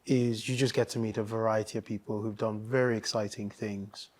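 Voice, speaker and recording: A man from Nigeria, a guest in a casual interview, talking thoughtfully with many pauses and restarts. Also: lowish voice, level tone, a little mellow.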